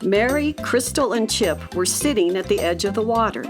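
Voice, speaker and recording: A woman's voice: medium pitch, lively intonation, normal speed, music in the background. A woman narrator reading a scripted children's story.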